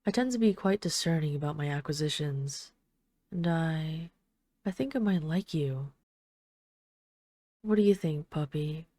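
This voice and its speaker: Low, mellow flirtatious vampire lady played by a woman. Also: no room sound, studio quality. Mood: tender, seductive. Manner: teasing, coaxing, deliberate with lingering pauses. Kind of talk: intimate audio roleplay addressed to the listener.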